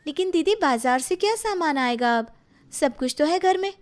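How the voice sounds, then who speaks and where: A woman voicing an innocent, dutiful younger daughter-in-law, earnest and a little plaintive, in an animated family story. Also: high-pitched, normal speed. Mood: questioning.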